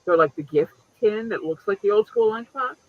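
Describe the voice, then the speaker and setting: A man in his late fifties with a high voice chats loosely, swearing freely and leaping up in pitch. The sound is dull and lo-fi, like a home stream. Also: fairly quick, very noisy. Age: 50s. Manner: chatty and profane, lively pitch jumps. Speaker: host. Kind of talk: casual chat.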